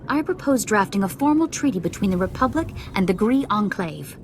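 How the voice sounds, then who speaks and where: An actress playing a polished senator in a video game, formal, articulate and diplomatic. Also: female voice, mid-range voice, lively intonation, a little fast, a little hiss or hum, lightly acted. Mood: diplomatic, hopeful. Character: polished, grateful female senator. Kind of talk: video game character acting.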